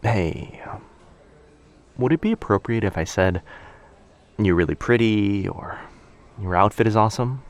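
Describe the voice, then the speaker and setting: Low male voice, actor as shy coffee-shop crush, awkward, overthinking, soft-spoken, hesitant rambling, intimate boyfriend ASMR. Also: expressive, a little slow, pauses often. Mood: nervous, musing.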